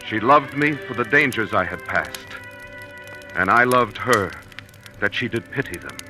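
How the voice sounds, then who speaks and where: An actor playing a proud, noble Moorish general and devoted husband, declaiming in measured old radio Shakespeare style. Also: male voice, fairly low pitch, expressive, bright, forceful tone, exaggerated voice. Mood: stately, tender. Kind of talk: 1940s radio Shakespeare acting. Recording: old radio broadcast with music.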